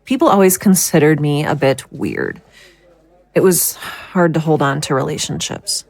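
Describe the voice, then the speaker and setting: A woman acting a fragile, troubled psychic in an audio drama, husky and hesitant in her speech. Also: low voice, moderate pace, darkish tone, dry recording. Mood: subdued, hesitant. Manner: hesitant, faltering. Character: distraught, fragile psychic woman at breaking point.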